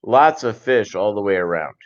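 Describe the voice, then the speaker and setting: A middle-aged man chatting casually as a livestream host, in a rambling, halting way that often repeats phrases. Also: fairly low pitch, lively intonation. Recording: dull lo-fi livestream audio.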